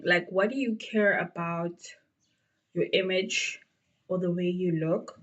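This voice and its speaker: A woman's voice: on the low side, highs cut off. A woman in her twenties with a South African accent, chatting casually to her viewers with plenty of fillers. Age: 20s. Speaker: beauty vlogger. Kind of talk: casual get-to-know-me chat.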